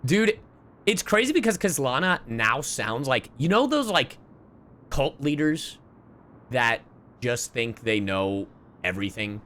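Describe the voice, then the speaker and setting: A man's voice: medium pitch, very animated, a little slow, a bit bright, a little hiss or hum. A man streaming a game, chatting casually and reacting to the story as it plays. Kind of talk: casual live stream commentary.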